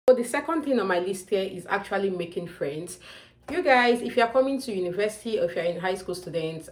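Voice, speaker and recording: A young woman with a Nigerian accent delivers chatty advice straight to camera, with an emphatic streak and frequent calls to her viewers. Her voice sits on the low side and she talks at a brisk clip. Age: young adult. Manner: chatty, emphatic, often calls out "you guys". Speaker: student vlogger. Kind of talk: casual advice vlog to camera.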